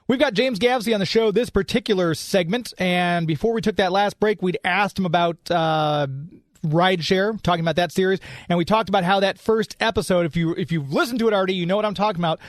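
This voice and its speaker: High-voiced male radio host. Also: no room sound, studio quality. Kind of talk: conversational radio interview hosting.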